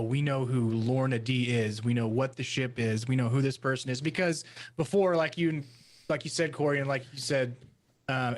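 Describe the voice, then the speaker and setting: A man chatting casually as a podcast panelist, thoughtful and halting in his delivery. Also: mid-range voice. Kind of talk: casual chat.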